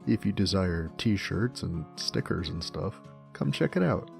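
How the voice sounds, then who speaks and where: A man's voice: sits low, expressive, measured pace, creaky, darkish tone, soft background music. A man who voice-acts, delivering a short promotional plug to the audience. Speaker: voice actor promoting own merch.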